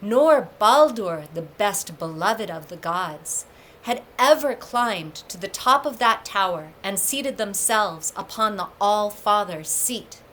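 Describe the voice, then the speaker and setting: A woman reading a mythic storybook aloud as a storyteller, in a measured, deliberate manner with emphatic pauses. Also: mid-range voice, expressive, a bit bright, low-quality recording. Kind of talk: scripted storybook narration of a myth.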